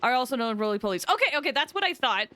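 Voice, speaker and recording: Expressive, high-voiced woman game streamer. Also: racing, slight background noise. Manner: chatty, expressive, rambling asides. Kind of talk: casual live gameplay commentary, chatting with viewers.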